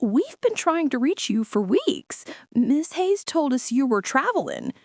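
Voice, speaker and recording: A woman's voice: sits high, sing-song, a little slow, dry recording, studio quality, voice pushed up, full character voice. A woman voicing a polite, startled female office receptionist in an audio story. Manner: polite, surprised.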